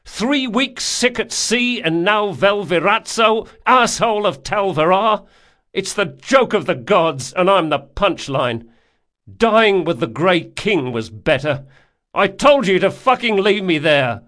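A man's voice: mid-range voice, animated, unhurried, fairly bright tone. A male narrator voicing a bitter, sulking, sardonic young rogue in an audiobook story. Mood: angry outburst. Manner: sneering, anguished, outbursts.

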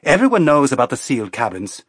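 A man's voice: medium pitch, wildly swooping pitch, fast, a bit bright, no room sound, clean studio sound. A man voicing an outspoken, frustrated woman spaceship crew member in an audiobook, with a blunt, informal manner. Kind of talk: audiobook character acting.

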